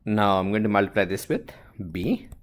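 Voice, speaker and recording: A man teaching a step-by-step worked example as an instructor, halting and thinking aloud as he writes. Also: on the low side, animated. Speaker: engineering instructor.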